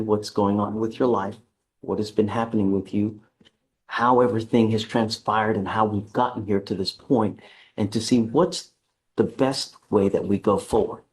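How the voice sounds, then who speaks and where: A man speaking as a judge at a courtroom hearing, measured and deliberate, stern but patient. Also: lowish voice, darkish tone, low-quality recording.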